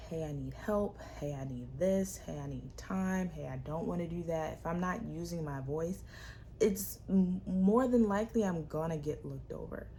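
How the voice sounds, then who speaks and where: A young woman hosting a solo podcast, talking in a sassy, emphatic way with a loud, projecting voice. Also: low voice, creaky, a little hiss or hum. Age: young adult. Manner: animated, sassy, emphatic repetition. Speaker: podcast host. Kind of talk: casual solo podcast talk.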